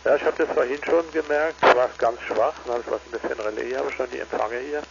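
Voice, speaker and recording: A man's voice: medium pitch, fairly even intonation, a little fast, gravelly. A man chatting casually as an amateur radio operator over a two-way transmission. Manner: tags statements with "ne?". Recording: dull, noisy ham radio transmission.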